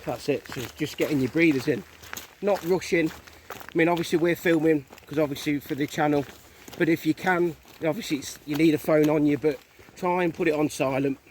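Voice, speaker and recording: English male co-host, fairly high voice, casual walking chat, clear outdoor noise on the recording. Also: fairly quick, darkish tone. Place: outdoors on a country lane.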